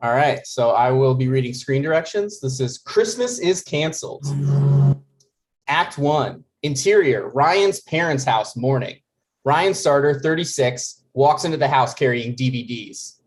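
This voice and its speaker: A man narrates screen directions for a live script reading. His voice sits at a middling pitch as he introduces and describes each scene. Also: lively intonation, a little slow. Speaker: host and reader of screen directions.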